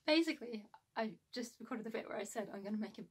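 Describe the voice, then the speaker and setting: Higher-pitched woman, casual vlogger. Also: lively intonation, fast, breathy edge. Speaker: costume sewing vlogger. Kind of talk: casual chatty vlog to camera. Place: small home sewing room, indoors.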